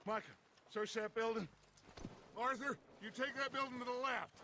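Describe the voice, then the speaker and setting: An actor playing a charismatic, commanding outlaw gang leader in a western game, with an American accent and a grandiose, rallying delivery. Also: male voice, very high voice, steady tone, moderate pace, bright, forceful tone, a little hiss or hum, heavily acted. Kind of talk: cinematic western game acting. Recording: music under the voice.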